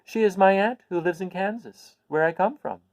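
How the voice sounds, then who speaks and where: Guy doing a little girl in a kids story, innocent n questioning, higher voice, very dry sound. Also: normal speed, no room sound, lightly acted. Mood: earnest. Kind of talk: storybook character voice.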